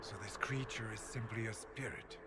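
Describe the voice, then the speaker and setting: An actor playing a proud, earnest knightly warrior in a video game, formal and commanding in manner. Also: male voice, fairly low pitch, steady tone, moderate pace, noisy background, close to an everyday voice. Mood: indignant, formal. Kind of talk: dramatic video game acting.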